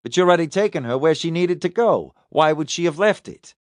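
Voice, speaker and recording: A man's voice: fairly high pitch, expressive, brisk, no echo, studio quality, voice pushed up, natural voice. A man voicing a sarcastic police detective who probes and taunts as he interrogates a suspect in an audiobook. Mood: pressing. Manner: probing, taunting.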